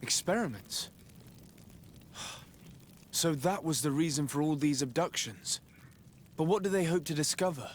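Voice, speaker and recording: An actor playing an earnest, courteous diplomat in a fantasy video game, polite and assured. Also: male voice, a little high, expressive, measured pace, long pauses, slight background noise. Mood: concerned. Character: young male diplomat legate, earnest and courteous.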